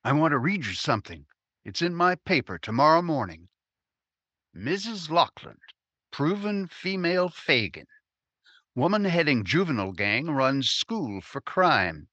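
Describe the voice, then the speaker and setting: Guy acting old radio newspaperman, punchy, bright voice jumping all over, dull dry recording. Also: fairly high pitch, sing-song, unhurried, no room sound, exaggerated voice. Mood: gentle, triumphant. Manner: emphatic, probing, punchy. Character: crusading, hard-nosed male newspaper reporter. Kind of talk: 1950s radio crime drama acting.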